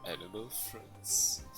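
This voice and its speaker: A man's voice: fairly low pitch, very slow, low-quality recording. A man, a native German speaker, doing casual live commentary as a game streamer. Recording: loud game music behind voice. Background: game music.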